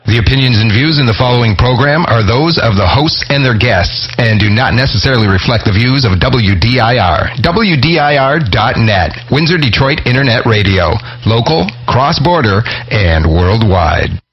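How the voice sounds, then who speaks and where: A man's voice: medium pitch, expressive, normal speed, hardly any pauses. A man reading scripted station announcements and disclaimers as a radio announcer. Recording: muffled radio audio over clear music. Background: music.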